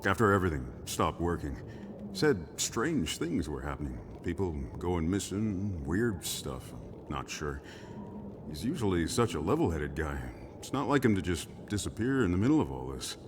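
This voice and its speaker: A man's voice: low voice, big pitch swings, moderate pace, noisy background. An actor playing a genial, world-weary small-town male barkeeper in a video game drama, folksy, easygoing and wry. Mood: troubled, musing.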